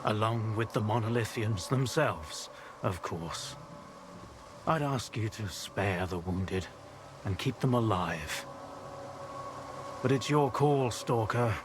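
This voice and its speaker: A grave, weary doctor of a game wasteland, low and very slow in speech. He sounds measured and protective. Buzzing outdoor noise runs clearly behind him. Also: lively intonation. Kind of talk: video game cutscene acting. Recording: noisy outdoor ambience, insect-like buzzing.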